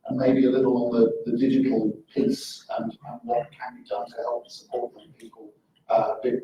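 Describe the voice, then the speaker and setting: A man moderating a live panel discussion in a conference room, polite and a little halting as he calls on speakers. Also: medium pitch, warm tone. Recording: echoey hall, distant mic, faint noise.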